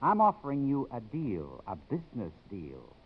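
A man's voice: medium pitch, wildly swooping pitch, normal speed, husky. An American man playing a cocky, bossy, sardonic newsman with glib, insistent delivery in a 1950s radio drama. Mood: smug, glib. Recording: muffled old 1950s radio broadcast.

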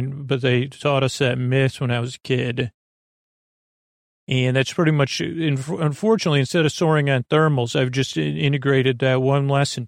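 A man speaks in a soothing, lulling way with a heavy vocal fry. He hosts a bedtime monologue that wanders and stumbles through digressions. The recording is dry, with no room sound around him. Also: medium pitch, no echo. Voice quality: creaky. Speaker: podcast host. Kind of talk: rambling, meandering bedtime monologue.

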